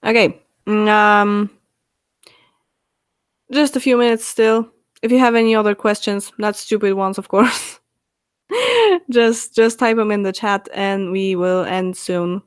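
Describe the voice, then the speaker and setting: A Polish woman in her twenties chatting on a live stream, answering viewers' questions with frequent laughs and halting fillers. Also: mid-range voice, clean tone, dry recording, studio quality. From Poland. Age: 20s. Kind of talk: casual live stream chat.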